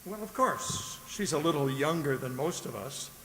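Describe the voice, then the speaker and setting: A man plays a fussy, deferential gentleman in a radio play, his fairly high voice lilting widely. He is formal and polite, heard in an echoing hall with faint hiss. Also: lively intonation, normal speed. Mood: smoothly patronizing. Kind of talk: radio drama acting.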